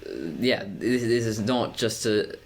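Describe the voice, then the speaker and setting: A young adult man with a deep voice, talking to camera in a personal vlog, pacing his words deliberately with frequent restarts. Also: steady tone, a little hiss or hum. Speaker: vlogger.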